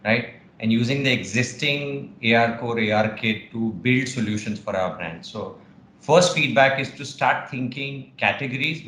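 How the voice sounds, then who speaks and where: A man, speaking in steady, assured business terms on a panel. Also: medium pitch, roomy sound, slight background noise, low-quality recording. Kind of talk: online panel discussion.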